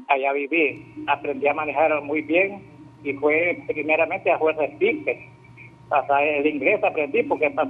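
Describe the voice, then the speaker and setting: Male caller, 60s, husky, tinny phone line, chatty and plainspoken. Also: fairly high pitch. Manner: chatty, plain, a bit halting. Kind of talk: phone-in call, casual storytelling. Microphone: telephone.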